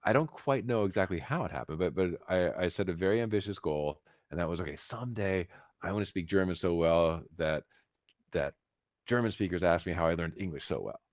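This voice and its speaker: Deep-voiced American man telling stories. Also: expressive, dry recording, clean studio sound. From United States, Philadelphia. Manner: halting, repeats words, emphatic asides. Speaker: interview guest, concert pianist. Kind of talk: casual storytelling in a podcast interview.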